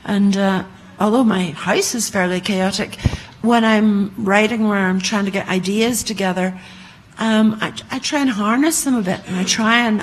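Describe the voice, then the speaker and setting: A woman's voice: mid-range voice, poor audio. A woman writer speaking informally as a panelist, in an anecdotal, conversational manner.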